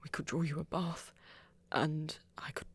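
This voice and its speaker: A woman playing an elegant, flirty vampire in an intimate ASMR romance, speaking softly and deliberately with a breathy, husky voice. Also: deep voice, level tone, exaggerated voice. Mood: gently coaxing. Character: flirty, elegant, patient female vampire in love.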